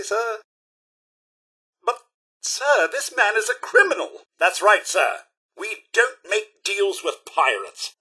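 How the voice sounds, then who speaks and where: An actor playing a fussy, polite and loyal robot butler in a video game, with a British accent and formal, prim, theatrical delivery. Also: male voice, high-pitched, sharp tone, husky, exaggerated voice. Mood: indignant, reproachful. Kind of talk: video game companion dialogue.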